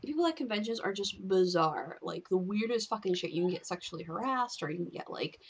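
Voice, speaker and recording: A woman's voice: mid-range voice, animated, a little fast, slight background noise. A woman chatting casually and conversationally, with informal, sweary phrasing. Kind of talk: casual chat. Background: music.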